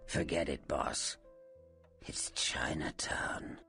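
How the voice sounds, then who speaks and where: A man playing a sly old vampire, a faded film star turned informant in a video game, with a whispery rasp and a theatrical, sarcastic drawl. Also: rumbling low, slow, sharp tone, faint music behind, exaggerated voice. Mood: sly, warning. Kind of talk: video game character acting.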